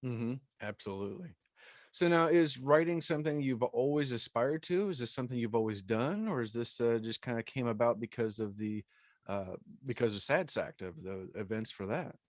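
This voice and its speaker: A man's voice: mid-range voice, expressive, normal speed, no echo. A middle-aged man hosting a podcast, talking casually as he interviews a guest. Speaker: podcast host.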